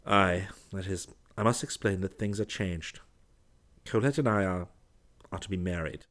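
A man voicing an earnest, timid young artist in love in an audiobook, speaking emotionally and haltingly. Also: low-pitched, fairly bright tone. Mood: flustered.

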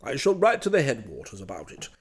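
A man voicing an elderly English country gentleman in a storybook, pompous, fussy and easily indignant. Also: mid-range voice, wildly swooping pitch, fast talker, slightly rough, pitched below the performer's own voice, heavily acted. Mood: pompous, put out.

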